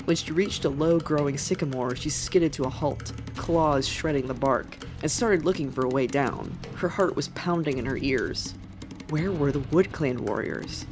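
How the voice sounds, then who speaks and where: A woman's voice: sits low, normal speed. A woman reading scripted fiction as a dramatic narrator. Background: music.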